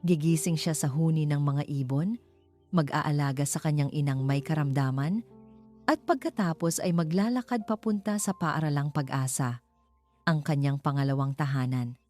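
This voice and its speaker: A woman's voice: low-pitched, moderate pace, dark tone. A woman with a Filipino accent reading a dramatic story in a scripted storytelling style. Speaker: story narrator. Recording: music behind the voice. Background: clear background music.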